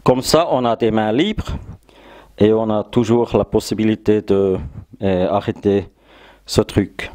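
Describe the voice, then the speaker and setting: Man, hobby channel host, slow hesitant delivery, low mellow voice. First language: German. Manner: hesitant, searching for French words. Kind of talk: casual workshop demonstration.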